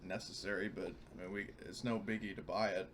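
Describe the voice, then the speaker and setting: A man's voice: sits low, steady tone, brisk, a little hiss or hum. A man chatting casually as a player in a tabletop game, sweary as he checks the rules. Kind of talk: casual game-session chat, checking rulebook.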